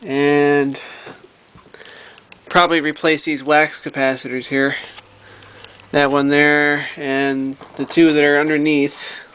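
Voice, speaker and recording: A man talking casually and haltingly, thinking aloud as he shows a hobby repair project in a home recording. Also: fairly high pitch, flat delivery, fairly bright tone, smooth. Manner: halting, thinking aloud while handling the object. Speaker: hobbyist vlogger showing a radio repair project. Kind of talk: casual unscripted show-and-tell narration. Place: indoors at home.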